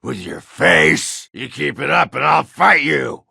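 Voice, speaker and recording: A man voicing a gruff, crude, hard-drinking middle-aged dwarf warrior for a video game, with a gravelly, raspy voice and blunt, swearing delivery. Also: mid-range voice, very animated, slow pace, bright, forceful tone, no room sound, studio quality, heavily acted. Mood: drunken, belligerent. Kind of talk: video game character acting.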